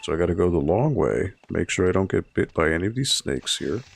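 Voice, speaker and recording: Library stream host, man in his thirties, hoarse and raspy, low gravelly voice, relaxed and chatty while he plays. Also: fairly quick, a little hiss or hum. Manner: relaxed and chatty, explains each step while playing. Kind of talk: casual gameplay commentary to a live chat.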